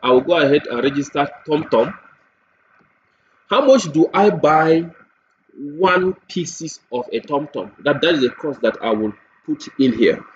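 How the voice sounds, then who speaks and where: Nigerian male software demonstrator, slightly high voice, patient-paced explanatory tutorial read, muffled lo-fi mic. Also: animated, unhurried. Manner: explanatory, repeats points with everyday examples. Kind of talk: spoken tutorial walkthrough. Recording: dull lo-fi mic with faint noise.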